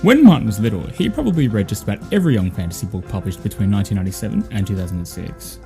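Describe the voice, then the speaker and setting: A man's voice: lowish voice, very animated, racing, vocal fry, mellow, faint music behind. An Australian man hosting a podcast, reading a scripted outro with credits.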